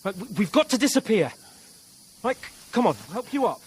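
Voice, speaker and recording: A man's voice: high-pitched, very animated, a little fast, slight background noise. An actor playing a sarcastic, jittery young nobleman in a game cutscene, stammering when nervous. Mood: hurried. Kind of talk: video game cutscene acting.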